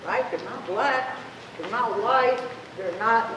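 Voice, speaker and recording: A woman's voice: on the high side, slow, distant mic. A woman in her fifties, a Spanish speaker, gives an impassioned, conversational public talk as an activist, often posing rhetorical questions. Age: 50s. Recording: echoey room from afar, clear noise.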